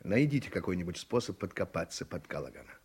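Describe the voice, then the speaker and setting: An actor playing an irritable, combative newspaperman in a radio play, husky and emphatic, with a bitter edge. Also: low voice, very animated, fast talker, darkish tone, heavily acted. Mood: exasperated. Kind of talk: Soviet-style radio play acting.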